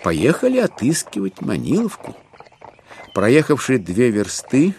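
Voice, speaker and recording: A man narrating a classic literary audio drama with expressive, theatrical phrasing. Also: on the low side, vocal fry. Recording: music behind the narration.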